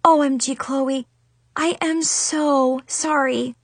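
Storybook schoolgirl, played by a woman: sarcastic, excitable, exaggerated, wide swoops in a high register. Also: unhurried, no room sound, exaggerated voice. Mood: apologetic. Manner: gushing, exaggerated. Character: dramatic, sarcastic, excitable schoolgirl telling her own story.

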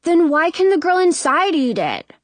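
A woman's voice: very high-pitched, very animated, normal speed, dry recording, pitched above the performer's own voice. A woman voicing a cheeky little brother in a storybook, whiny, blunt and insistent. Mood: eager, whiny.

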